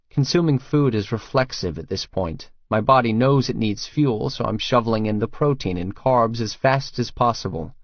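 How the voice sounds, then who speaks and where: A man's voice: on the low side, no room sound, highs cut off. A man reading first-person romance fiction as an audiobook narrator, in a scripted storytelling style.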